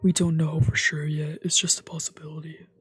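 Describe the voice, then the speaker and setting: Woman voicing a hushed, blunt young man. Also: flat delivery, brisk, slightly rough, soft background music. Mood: calm, serious. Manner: hushed, low-key. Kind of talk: read-aloud dub of a visual novel.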